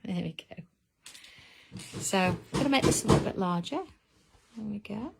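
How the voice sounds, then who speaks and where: A woman's voice: medium pitch, moderate pace, gravelly, heavy background noise. A middle-aged woman, hosting a craft livestream in casual chat and answering viewer comments as she works. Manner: chatty, reads out viewer comments while working.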